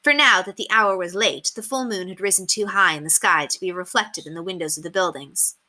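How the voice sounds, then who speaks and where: A woman reading a children's book aloud as an expressive, emphatic storyteller. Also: lowish voice, fairly quick. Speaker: read-aloud reader.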